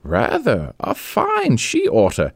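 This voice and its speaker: A man reading a story aloud, voicing a chatty female neighbour in a gushing, high falsetto. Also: wildly swooping pitch, takes it slowly, gravelly, no room sound, studio quality, heavily acted. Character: chatty gossiping woman neighbour, lively.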